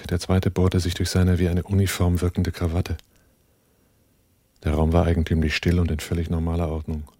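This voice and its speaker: An actor playing a cool, laconic killer and former merchant in a radio crime drama, speaking tersely with a gravelly, husky voice. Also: male voice, low-pitched, noisy background. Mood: detached narration. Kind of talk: German radio crime drama acting and narration.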